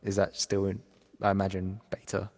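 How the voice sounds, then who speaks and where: Low-voiced male interviewer, roomy reverb. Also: monotone, moderate pace, darkish tone. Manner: easygoing, lots of short murmured acknowledgements. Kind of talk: casual interview.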